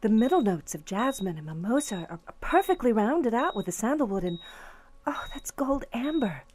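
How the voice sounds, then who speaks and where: A woman's voice: fairly high pitch, sing-song, normal speed, darkish tone. A woman playing a fawning, smugly catty favorite daughter in an audio suspense drama, gushing and melodramatic. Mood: awed, gushing.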